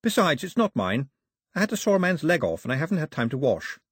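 A man's voice: fairly high pitch, sing-song, fast, husky, dry recording, studio quality, voice pushed up. A man reading aloud and voicing a headstrong, fiery young woman who speaks passionately and defiantly. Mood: earnest.

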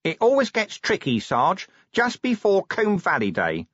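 A man's voice: high-pitched, wildly swooping pitch, unhurried, a bit bright, no room sound, lo-fi sound, pitched above the performer's own voice, full character voice. A man voicing a guileless, cheeky police underling in an audiobook, deferential and defensive in colloquial speech. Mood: matter-of-fact. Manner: deferential, innocent-sounding, colloquial. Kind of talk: audiobook character dialogue.

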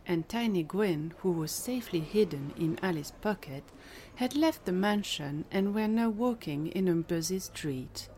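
A woman's voice: fairly low pitch, a little mellow. A woman reading scripted narration for an audio drama. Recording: clearly noisy background ambience.

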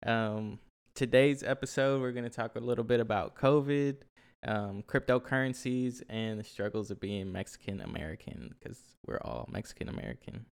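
A man's voice: medium pitch, expressive, normal speed, vocal fry, smooth. A man in his twenties from Oklahoma, co-hosting a casual podcast with lots of ums and easy laughs. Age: 20s. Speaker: podcast co-host. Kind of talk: casual chat. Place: shoe room at home.

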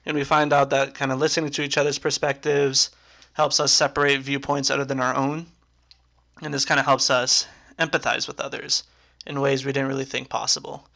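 A young man in his twenties hosting a casual podcast with friends, talking in a relaxed, rambling way with lots of "kinda" and fillers. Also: medium pitch, brisk, vocal fry. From United States. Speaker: podcast host.

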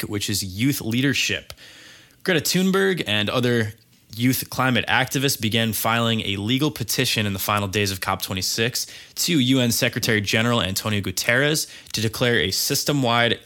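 A man's voice: on the low side, pushed and bright. Congested male podcast host. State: congested from a cold. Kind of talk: casual podcast chat.

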